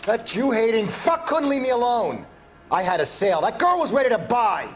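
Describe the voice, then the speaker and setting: An actor playing an indignant, defiant shopkeeper held as a murder suspect in a video game, agitated and combative. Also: male voice, very high voice, big pitch swings, moderate pace, a bit bright, faint music behind, exaggerated voice. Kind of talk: video game dramatic acting.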